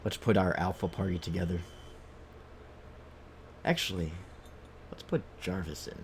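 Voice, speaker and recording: A man with a low-pitched voice streams a video game. He talks casually and ramblingly, thinking aloud through his play. A faint noise sits behind his voice. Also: a little slow. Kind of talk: casual live gameplay commentary.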